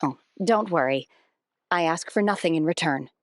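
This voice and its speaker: A woman playing an aloof, earnest young woman in a video game, formal, measured and deadpan. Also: deep voice. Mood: calm, earnest.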